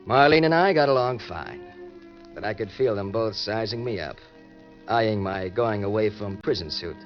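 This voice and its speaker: A man's voice: on the low side, lightly acted. An actor playing a wisecracking, cynical ex-convict drifter, with an American accent and a wry, slangy tough-guy delivery in 1940s radio style. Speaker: narrator and lead. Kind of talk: 1940s hardboiled radio drama acting. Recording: muffled old-time radio recording with music.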